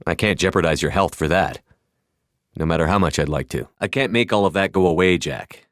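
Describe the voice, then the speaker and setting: A man's voice: low-pitched, a little fast, no echo, clean studio sound, lightly acted. A man voicing a tough, commanding space soldier and squad leader in a video game, with terse, firm delivery. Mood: low, sincere. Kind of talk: cinematic game dialogue lines.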